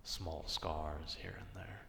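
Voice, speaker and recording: A man acting out a gruff, proud, distrustful werewolf in an ASMR roleplay, stern and curt but grudgingly caring, in a gravelly half-whisper. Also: very deep voice, steady tone, slow pace, noisy background, exaggerated voice. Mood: musing.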